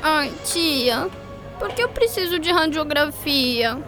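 A woman's voice: high-pitched, lively intonation, slow, bright, forceful tone, soft background music, exaggerated voice. A woman playing a feisty, complaining young girl in a children's audio drama. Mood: worried. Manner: complaining, exclamatory, colloquial. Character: Laura, whiny, feisty young girl who loves food.

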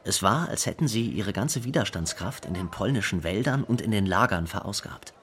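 A grown brother in a radio drama, lowish voice, sober and measured, looking back on family. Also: male voice, expressive, fairly bright tone. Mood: sombre, reflective. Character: sombre adult brother recalling his family's past.

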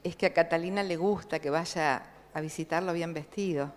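A woman from Córdoba with an Argentine accent, telling and reading a story aloud at a book launch, slow and expressive. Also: on the low side, slight background noise. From Córdoba province, Argentina. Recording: reverberant hall.

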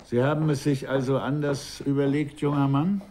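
A man's voice: mid-range voice, little pitch movement, normal speed, dark tone, slight background noise, natural voice. An actor playing a cynical, amused older official in a radio drama, musing sardonically and savouring his words. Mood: brisk, shrewd. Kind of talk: German radio drama acting.

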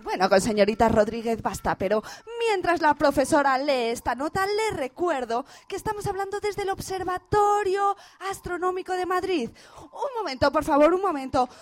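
Actress as earnest radio newswoman, high voice, ceremonious interviewer manner, for a spoof radio drama. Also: animated, normal speed. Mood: urgent, formal. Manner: formal, announcer-like. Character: earnest, formal female radio reporter interviewing a scientist. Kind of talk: parody radio drama acting.

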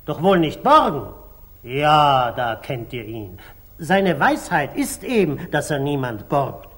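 An actor playing an excitable, blunt, grumbling dervish turned treasurer, agitated and theatrical in 1940s German radio drama style. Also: male voice, fairly high pitch, slow pace. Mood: flustered, evasive. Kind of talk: 1940s German radio stage-classic acting.

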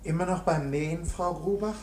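An actor in a radio play playing an anxious, proud bank clerk who speaks formally and insistently, justifying himself. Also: male voice, a little high, normal speed, a little mellow, slightly rough. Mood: apologetic, uneasy.

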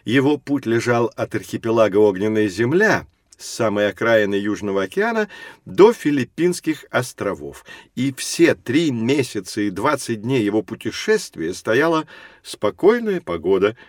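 An actor playing a kindly, patient professor, explaining courteously in a children's educational audio drama. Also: male voice, mid-range voice, big pitch swings, no echo, lightly acted. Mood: kindly correcting. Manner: explanatory, courteous, measured phrasing with emphasis.

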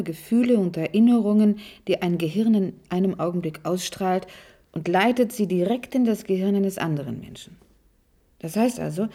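A woman's voice: mid-range voice, dark tone, close to an everyday voice. An actress playing an old, commanding, impatient female leader in a radio play, speaking formally and insistently. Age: older.

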